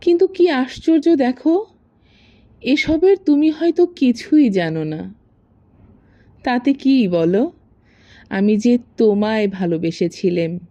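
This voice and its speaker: A woman reciting a romantic poem expressively, with tender, wistful and deliberate phrasing, in a muffled, echoing recording. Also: sits high, dark tone. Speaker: poetry reciter.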